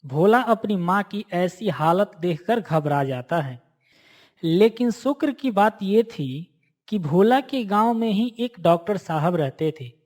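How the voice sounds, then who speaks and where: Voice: mid-range voice, lively intonation, slow, a little mellow. A narrator reading a scripted moral story for a cartoon in a storytelling style.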